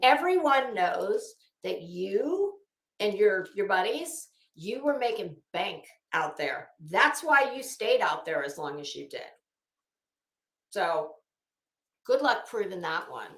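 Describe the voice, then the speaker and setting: Bright-voiced, sarcastic woman in an echoey room. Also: mid-range voice, very animated, takes it slowly. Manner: sarcastic, blunt, swearing, dramatic emphasis. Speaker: commentary host, live streamer. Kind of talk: reading a document aloud with mocking off-the-cuff commentary. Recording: echoey, roomy sound.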